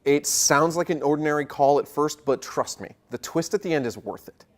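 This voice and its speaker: Tech vlogger, mid-pitched voice, excited, emphatic, colloquial wording, enthusiastic commentary. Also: fairly quick. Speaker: tech video host.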